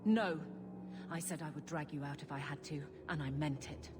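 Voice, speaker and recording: An actress playing a stern, fiercely loyal warrior wife in a video game, forceful and blunt. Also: female voice, low-pitched, fairly even intonation, moderate pace, loud music behind the voice, natural voice. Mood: desperate, resolute.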